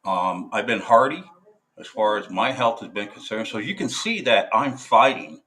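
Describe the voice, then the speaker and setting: A veteran man talking to camera in a casual monologue, halting and hesitant, full of filler sounds and restarts, blunt and profane. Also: on the low side, very animated, fairly bright tone. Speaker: military veteran.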